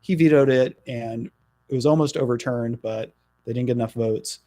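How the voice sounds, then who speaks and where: A middle-aged man from New Jersey, a political candidate talking in a radio interview, earnest and halting with frequent fillers. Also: mid-range voice, mellow, no room sound.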